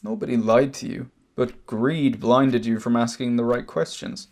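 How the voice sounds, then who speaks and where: An amateur actor with an Irish accent playing a wronged older brother back from abroad, telling his story in a deliberate, pointed way. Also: medium pitch, gravelly, darkish tone, close to an everyday voice. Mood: firm. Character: wronged older emigrant brother, cancer survivor, measured, bitter. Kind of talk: amateur stage drama acting, long monologue.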